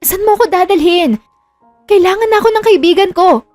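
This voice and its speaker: A woman's voice: extremely high pitch, brisk, a little mellow, no echo, soft background music, voice pushed up. A woman voicing a hurt, jealous, defensive young wife in an audio drama romance. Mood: upset, protesting.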